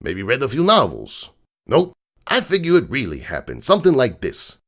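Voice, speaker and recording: Man voicing a cynical wiseguy crook horse, animated swooping delivery. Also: mid-range voice, moderate pace, exaggerated voice. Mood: critical, lecturing. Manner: slangy wiseguy, cynical, digressing. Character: gruff streetwise crook horse uncle telling bedtime stories. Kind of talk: dramatic reading of a comic bedtime story. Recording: music bed behind the voice.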